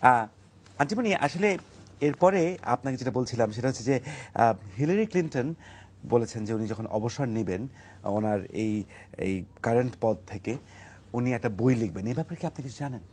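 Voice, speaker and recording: A man with a Bangladeshi accent hosting a mock-serious comedy interview, politely deadpan and a little hesitant. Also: mid-range voice, normal speed, long pauses, slightly rough. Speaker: talk show host.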